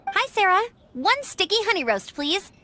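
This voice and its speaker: A woman's voice: extremely high pitch, very animated, normal speed, pushed and bright, a little hiss or hum, full character voice. A woman voicing a cheerful, friendly young female scout. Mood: upbeat. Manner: upbeat, friendly. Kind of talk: game cutscene dub. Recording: game cutscene with music behind.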